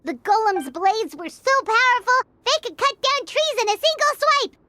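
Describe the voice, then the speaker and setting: A woman voicing a small, excitable girlish companion in a read-aloud game story, talking in a chirpy, blunt way. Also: very high voice, normal speed, pitched above the performer's own voice, heavily acted.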